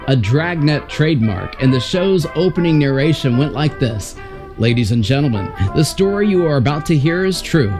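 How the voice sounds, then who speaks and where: A man hosting with scripted, announcer-style narration. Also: a little high. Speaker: host. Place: voice-over with music behind.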